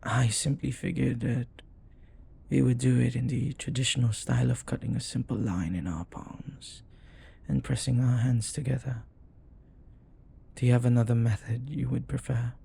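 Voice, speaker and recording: A man voicing a flirty, devoted demon in an intimate romantic audio roleplay, speaking formally and reverently in a husky voice that often drops to a whisper. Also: medium pitch, fairly even intonation, dark tone, a little hiss or hum. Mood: tender, curious. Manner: slow, formal, reverent phrasing. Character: jealous, flirty, devoted male demon bound to master.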